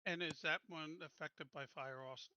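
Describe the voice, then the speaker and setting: Male player, sharp and forceful higher voice, casual stop-and-start planning, dry. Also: fairly even intonation, normal speed, clear, resonant tone, no room sound, lo-fi sound. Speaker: tabletop game player. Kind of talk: casual game planning talk.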